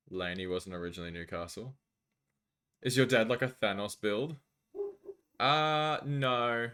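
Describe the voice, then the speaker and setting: Low-voiced Australian man chatting casually. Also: a bit bright, clean tone. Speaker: live streamer. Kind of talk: casual chat with stream viewers, reading out messages.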